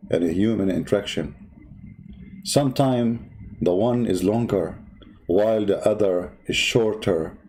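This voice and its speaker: Mocking man with a mellow voice. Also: medium pitch, rough recording. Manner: mocking, insulting, emphatic, singing to himself. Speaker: debate host. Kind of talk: polemical commentary over a video.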